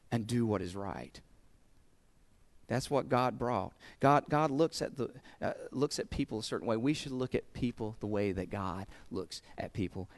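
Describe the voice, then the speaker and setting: A man's voice: on the high side, dry recording. A man with a Southern US accent preaching a sermon, earnest, often repeating words as he builds a point. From American South. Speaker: pastor.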